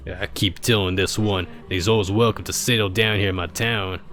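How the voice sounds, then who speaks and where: A man's voice: sits low, fairly even intonation, moderate pace, fairly bright tone, soft background music. Man voicing a welcoming small-town pony leader. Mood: proud, friendly.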